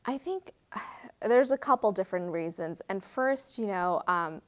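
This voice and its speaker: A woman's voice: medium pitch, lively intonation, measured pace. Earnest woman from Fiji, thoughtful interview talk. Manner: earnest, reflective, frequent fillers. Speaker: guest, real estate attorney. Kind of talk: interview conversation.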